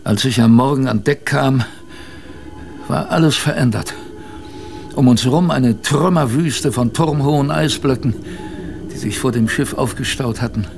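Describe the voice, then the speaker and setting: An actor playing a young ship's crewman who recounts a polar expedition in measured, literary radio drama narration. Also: mid-range voice. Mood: tense recounting. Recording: clear background noise, ambience.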